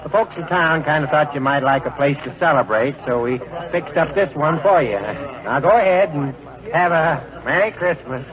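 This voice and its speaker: A man's voice: a little high, animated, moderate pace, close to an everyday voice. An actor playing a kindly, fatherly small-town man with folksy, plain-spoken talk in 1940s radio drama. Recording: dull old radio recording, crowd behind. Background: crowd.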